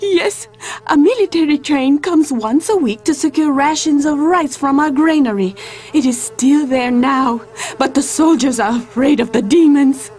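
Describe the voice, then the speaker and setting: A woman's voice: high voice, unhurried, darkish tone. An actress voicing a frail, grieving old village woman who trembles and cackles as she speaks. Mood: haunted. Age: older.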